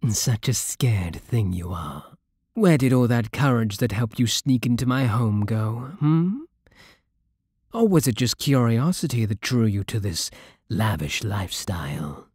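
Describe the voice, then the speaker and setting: Breathy, possessive British vampire king, acted by a man. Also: medium pitch, expressive, no echo, studio quality. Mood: haughty, taunting. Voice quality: breathy, soft. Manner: slow, menacing, haughty purring. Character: possessive, regal male vampire king, condescending and seductive. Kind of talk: ASMR roleplay.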